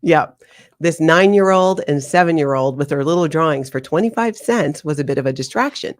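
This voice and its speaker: A woman in her forties from Hawaii, a guest telling her life story in an interview, animated and laughing often. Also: deep voice, moderate pace. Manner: animated, laughs often, slips into acted quotes.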